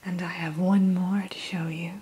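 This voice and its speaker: A woman whispering softly and slowly into a close microphone, hosting an ASMR roleplay as a dragon hatchery keeper and telling the listener about each dragon. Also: lowish voice, little pitch movement. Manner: soft, slow unvoiced whisper; gentle, storytelling tone addressing the listener.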